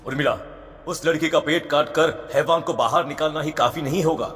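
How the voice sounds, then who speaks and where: An actor playing a solemn, commanding priest who instructs ominously in a horror cartoon. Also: male voice, on the high side, brisk, fairly bright tone, close to an everyday voice. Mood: stern warning. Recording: long reverb, faint music.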